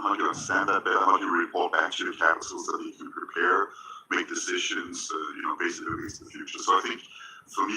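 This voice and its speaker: Older man, Danish accent, very deep voice, panel speaker, conversational, slight background noise. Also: pushed and bright, low-quality recording. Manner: conversational, self-deprecating, addresses the audience. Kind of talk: panel discussion. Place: conference stage.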